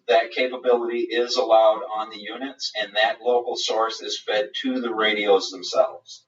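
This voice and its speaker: A man's voice: mid-range voice, a bit bright. Man presenting in a roomy, distant recording. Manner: methodical, explanatory. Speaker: product presenter. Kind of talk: live webinar product demonstration. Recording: roomy, distant, dull webinar audio.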